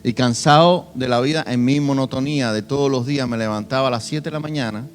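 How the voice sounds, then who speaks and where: A young man from Cuba, preaching a sermon and telling his own testimony, swinging between soft and loud emphasis. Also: medium pitch, quick pace, faint music behind. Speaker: pastor.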